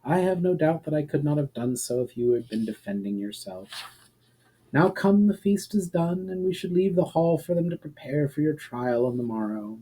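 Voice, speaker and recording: A man voicing a proud, calm female elf leader in a fantasy storybook, with stately, measured and formal speech. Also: on the high side, husky, pitched above the performer's own voice, low-quality recording. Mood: patient.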